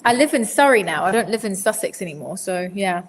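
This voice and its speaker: A woman in her forties from Zimbabwe, talking through interview questions at an easy middle pitch and often stopping to restart her sentences. Her voice carries a long, hall-like reverb, with faint ambient noise behind it. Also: expressive, a little fast. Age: 40s. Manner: rambling, hesitant, many fillers and restarts. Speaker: podcast guest. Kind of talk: casual interview answers.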